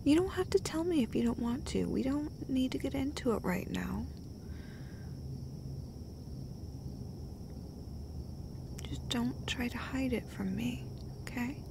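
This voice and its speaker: A woman playing a caring, gentle girlfriend in an intimate roleplay audio, soft-spoken and hesitant, often slipping into a breathy whisper. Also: high-pitched, expressive, normal speed, background noise. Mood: worried, tender. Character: caring, gentle, casually sweary girlfriend comforting her partner.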